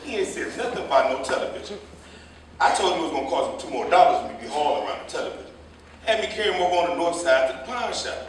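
A man's voice: on the high side, big pitch swings, very fast. A man playing a chatty, indignant, meddlesome cab driver who gossips at length in a staged play reading. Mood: gossipy, indignant. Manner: chatty, indignant, long-winded storytelling. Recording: echoey stage, distant mic, faint noise.

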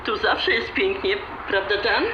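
An actress playing a playful, gushing middle-aged best friend in a radio drama, breezy and teasing. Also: female voice, mid-range voice, measured pace, lightly acted. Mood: effusive. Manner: breezy, drops English phrases. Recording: dull, echoey, faintly noisy radio-like sound.

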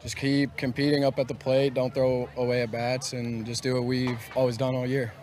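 Flat-toned young male ballplayer. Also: medium pitch, moderate pace. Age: young adult. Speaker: college baseball player. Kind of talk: brief postgame interview answers. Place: outdoors by a baseball dugout. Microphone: reporter's handheld microphone.

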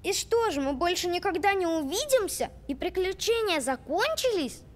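A woman's voice: extremely high pitch, very animated, moderate pace, in a large hall. A woman voicing an eager little child in a children's cartoon. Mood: eager, curious.

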